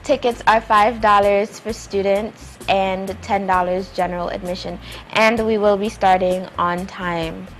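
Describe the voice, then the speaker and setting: A woman's voice: medium pitch, fairly even intonation, a little slow, soft background music. A woman, a playwright and director, talking conversationally as an interview guest.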